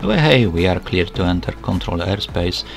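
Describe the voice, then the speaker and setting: A man's voice: low-pitched, expressive, normal speed, gravelly, soft background music. A man giving an explanatory voice-over about flying, as a student pilot vlogger. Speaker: student pilot vlogger narrating own flight.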